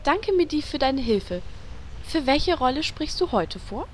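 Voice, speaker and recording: A woman plays a chirpy, friendly mage in a gaming radio play parody. Her voice is high and very lively in melody, sweet and eager. A little noise sits behind it. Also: measured pace. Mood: friendly, curious. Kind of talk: comedic audio drama parody acting.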